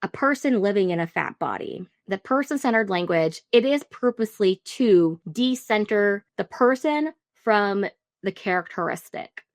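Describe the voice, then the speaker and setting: Gravelly-voiced woman host of reaction commentary. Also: mid-range voice, lively intonation, normal speed, no echo, studio quality. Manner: talkative, explanatory, stutters at times.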